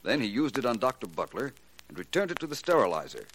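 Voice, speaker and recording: An American actor playing a shrewd, self-assured gentleman detective in 1940s radio style, polished, courteous and unruffled. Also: mid-range voice, big pitch swings, quick pace. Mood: assured, deductive. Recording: noisy vintage radio recording with clicks.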